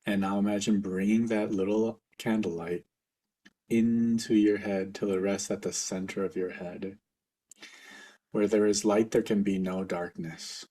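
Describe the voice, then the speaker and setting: A man leading a guided meditation for a group as facilitator, speaking informally in a gentle, reassuring, unhurried way. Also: lowish voice, steady tone, husky.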